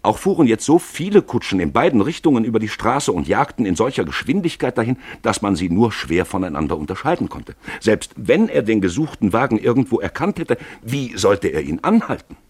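Male narrator, deep, animated pitch, expressive literary storytelling, radio play, dry close sound. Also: a little fast, few pauses, slightly rough, no echo.

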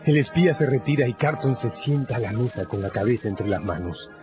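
A man narrating a scripted radio drama in a measured, grave storytelling manner. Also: medium pitch, expressive. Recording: dull radio recording with loud music. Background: loud music.

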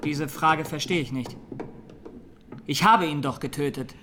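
An actor playing a young man on trial in a radio drama, haunted and resolute, speaking in plain, earnest, deliberate statements. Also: a little high, pushed and bright, slight background noise. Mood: calm, resolute. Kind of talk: German radio drama, courtroom testimony.

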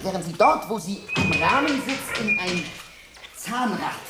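An actress playing a woman who recites precise technical descriptions in a literary radio play, with deliberate phrasing. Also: mid-range voice, animated. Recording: roomy echo, clear background noise.

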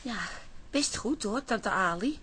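A woman's voice: on the high side, lively intonation, slow, noisy background. An actress playing a polite, resigned younger woman feeling poorly and nauseous, in a radio comedy drama. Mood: weary, queasy.

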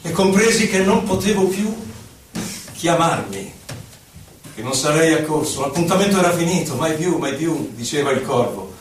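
A man's voice: a little high, animated, moderate pace, a little hiss or hum, lightly acted. A man playing an anguished, impassioned poet, reciting verse in a solemn, declamatory, theatrical way. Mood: sombre. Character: anguished male poet on an inward journey, impassioned. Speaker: stage actor. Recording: very echoey hall, distant microphone.

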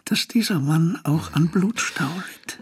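An actor playing an eccentric, stubborn anatomist in a German period radio drama, very husky, declamatory and absorbed in his work. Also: a little high, slow pace. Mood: rapt, clinical. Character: eccentric, rapt male anatomist, stubborn and music-loving.

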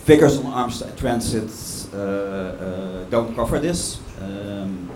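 A man on a panel answering audience questions with a hesitant, measured delivery full of fillers. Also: lowish voice, lively intonation, darkish tone. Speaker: panel speaker. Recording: echoey room with background noise.

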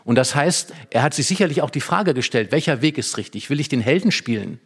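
A man's voice: mid-range voice, lively intonation, fairly quick, fairly bright tone, breathy edge. Analytical man in explanatory discussion. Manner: analytical, emphatic, poses rhetorical questions. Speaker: literary discussion guest explaining a play.

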